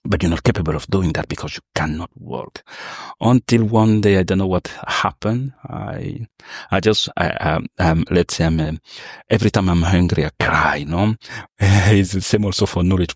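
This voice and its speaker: A man's voice: sits low, slightly rough, dry recording, clean studio sound. A young man from Cameroon telling his life story in an interview, with many fillers and restarts. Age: young adult. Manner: hesitant, many fillers and restarts, non-native phrasing. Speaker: interview guest, sales professional.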